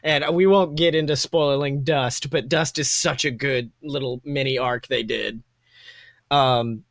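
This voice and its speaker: A man's voice: on the high side, a bit bright, dry recording, clean studio sound. A young man co-hosting a podcast, chatting casually and enthusiastically in a rambling, gushing way that often interrupts itself. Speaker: podcast co-host.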